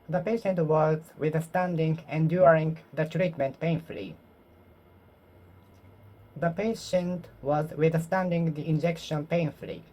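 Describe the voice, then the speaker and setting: Solo learner, Japanese native, slow and halting, drills each phrase over and over. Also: medium pitch, mellow, rough recording. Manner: repeats phrases, halting and self-correcting. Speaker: English learner. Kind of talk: solo speaking practice, talking to oneself.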